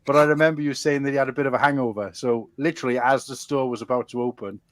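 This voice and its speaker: A man's voice: mid-range voice, fairly even intonation, quick pace, no room sound. Chatty Welsh man hosting, dry sound. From Wales. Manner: chatty, rambling, calls people mate. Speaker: podcast host. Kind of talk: casual chat.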